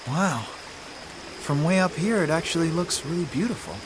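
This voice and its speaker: A man's voice: on the high side, animated, normal speed, background noise, close to an everyday voice. Man playing an earnest teenage boy, crickets behind. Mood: quiet wonder. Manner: casual, boyish, earnest. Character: friendly, caring, slightly awkward teenage boy hero. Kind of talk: video game cutscene acting.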